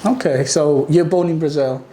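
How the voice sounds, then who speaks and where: A man's voice: fairly high pitch, moderate pace, mellow, slight background noise. A man hosting a podcast interview, upbeat and often saying okay fantastic. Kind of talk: interview questions.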